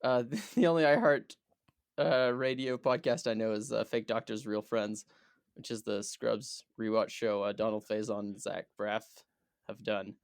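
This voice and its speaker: A man's voice: fairly low pitch, steady tone, measured pace, smooth, no room sound, studio quality. A man co-hosting a podcast in casual chat, playful and rambling, often restarting his sentences. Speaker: podcast co-host.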